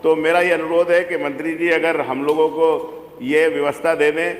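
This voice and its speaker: A man's voice: a little high, flat delivery, moderate pace. A man giving an impromptu, deferential speech as a legislator making a request in an assembly debate. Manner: deferential, often addressing the chair as sir. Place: large legislative chamber. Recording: echoey assembly hall, faint noise.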